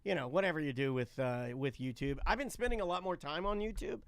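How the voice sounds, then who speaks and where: A man's voice: a little high, lively intonation, fast talker, clean tone, no room sound, clean studio sound. A middle-aged man co-hosting a live talk show with casual, unscripted banter.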